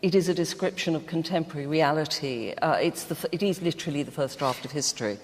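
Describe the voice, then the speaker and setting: A woman speaking as a panel guest in a literary discussion, with emphatic, deliberate phrasing. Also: low voice. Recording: reverberant hall.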